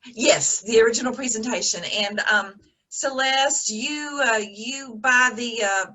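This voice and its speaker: Woman acting a flustered, husky, high-voiced board president. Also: bright, forceful tone, rough recording. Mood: flustered, dithering. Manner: dithering, halting. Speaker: actor in online comedy. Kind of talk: farcical comedy acting.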